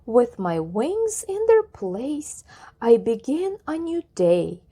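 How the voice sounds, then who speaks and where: A woman's voice: on the high side, big pitch swings, takes it slowly, warm tone, breathy edge, exaggerated voice. A woman voicing a naive, earnest young male elephant from a rhyming children's storybook. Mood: proud, hopeful. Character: naive, cheerful young male elephant who loves singing.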